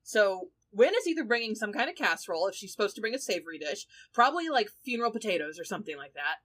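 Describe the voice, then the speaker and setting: A woman's voice: mid-range voice, expressive, fast talker, fairly bright tone. A woman chatting casually as a podcast panelist, giving orderly, matter-of-fact answers. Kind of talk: casual chat.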